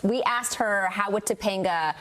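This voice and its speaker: A woman with an American accent speaks at a medium pitch as a television host. Her interviewing is casual and upbeat, with eager, informal reactions. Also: normal speed, fairly bright tone, low-quality recording.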